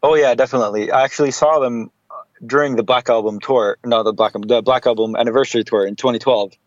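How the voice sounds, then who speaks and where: A man's voice: mid-range voice, steady tone, fast talker, dry recording, muffled recording. A man talking casually as a podcast guest, rambling with many fillers.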